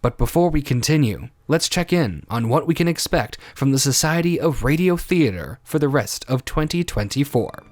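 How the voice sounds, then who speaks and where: A man's voice: medium pitch, lively intonation, normal speed, few pauses. A man announcing and narrating a radio drama in a scripted, old-time style. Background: music.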